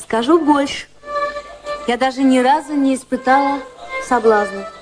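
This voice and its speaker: An actress playing a cool, candid and wistful high-society woman in a radio play, composed and dryly sincere. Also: normal speed, lightly acted. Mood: wistful, confiding. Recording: music playing behind the voices.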